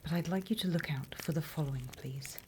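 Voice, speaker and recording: A British woman playing a sly, mock-stern demon teacher in a whispered ASMR roleplay, addressing her trainees with deliberate, teasing menace. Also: sits low, background noise, natural voice. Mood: wry, instructive.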